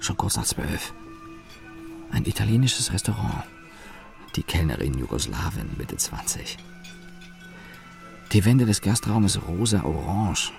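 Casting: jaded engineer, low gravelly voice, dry radio-play inner monologue in fragments. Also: male voice, animated, slow, long pauses, over music, heavily acted. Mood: sardonic, observant. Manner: clipped fragmentary sentences, sardonic. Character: weary, cynical male office engineer, brooding inner monologue.

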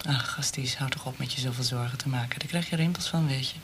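An actress playing a cool female conspirator in a radio drama, with a brisk, dry, dismissive way of talking. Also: very deep voice, background noise. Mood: cool, teasing.

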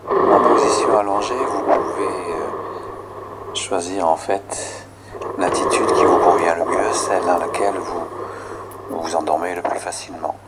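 Male relaxation guide, very low pitch, set in heavy background noise. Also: steady tone, measured pace.

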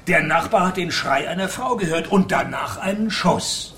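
A man playing a world-weary, sardonic private detective in a comic noir radio play, with deadpan dry wit. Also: high-pitched, normal speed. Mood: deadpan, probing.

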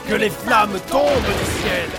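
An actor playing an arrogant, wrathful god in a French fantasy audio drama, proclaiming with grandiose menace. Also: male voice, high voice, measured pace. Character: arrogant, wrathful male god of strange worlds. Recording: loud music under the voice.

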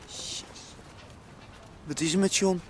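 An actor playing a gangster's tense, hot-headed and reluctant son in a radio drama. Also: male voice, high voice, steady tone, unhurried, a little hiss or hum. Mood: startled, worried. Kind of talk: Dutch radio drama acting.

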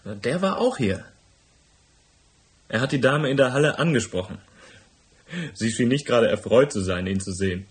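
A man's voice: medium pitch, expressive, normal speed, pauses often, close to an everyday voice. A man playing a sly, obliging hotel bellboy in a noir radio drama, cheeky and casually deferential. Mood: eager, recalling.

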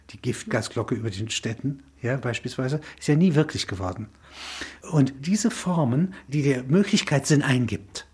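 A man with a very husky, breathy-rough voice at a medium pitch talks as an interview guest. He develops abstract ideas discursively, pausing mid-thought, stressing key words and adding short tag questions. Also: a little mellow. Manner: discursive, halting emphatic phrasing with tag questions. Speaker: interview guest explaining a film concept. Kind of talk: spontaneous intellectual discussion.

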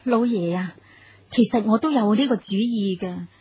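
An actress playing a young, dutiful, self-sacrificing daughter-in-law in a Cantonese radio drama, soothing and pleading deferentially. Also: female voice, medium pitch, normal speed, darkish tone, breathy edge.

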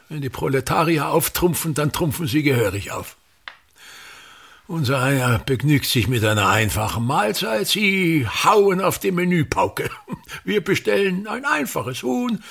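An actor playing a pompous, bitter, tyrannical ageing actor-manager in a radio play, declaiming and haranguing with a husky voice. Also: male voice, a little high, fairly bright tone, exaggerated voice. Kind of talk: theatrical radio play monologue.

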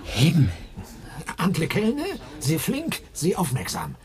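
An actor playing a dogged, ironic editor turned amateur sleuth in a German radio drama, hesitant when pressed. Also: male voice, on the high side, huge pitch swings, unhurried, darkish tone. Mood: sardonic.

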